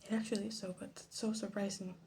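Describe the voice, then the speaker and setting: A woman giving casual ASMR commentary in a soft, breathy half-whisper, musing to herself without hurry. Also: mid-range voice, flat delivery, quick pace. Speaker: vlog host. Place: her bedroom. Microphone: phone. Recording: faint background noise, phone microphone.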